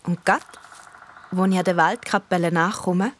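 A woman's voice: on the low side, fairly even intonation, normal speed, faint music behind, lightly acted. A female performer playing a thoughtful young girl who narrates her own story in a radio play, with a Swiss German accent. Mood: reflective.

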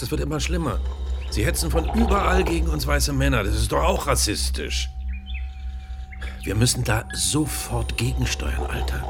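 An actor playing a bigoted, resentful IT worker in a radio crime drama, ranting in a smug, condescending way. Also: male voice, mid-range voice, natural voice. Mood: agitated ranting. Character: bigoted, resentful male IT worker under police questioning. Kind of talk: naturalistic German radio crime drama acting.

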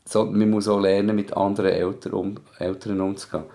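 A man's voice: lowish voice, flat delivery, fairly quick, gravelly, mellow. A man with a Swiss German accent co-hosting a casual podcast, thinking aloud in a hesitant way. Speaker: podcast co-host and parent.

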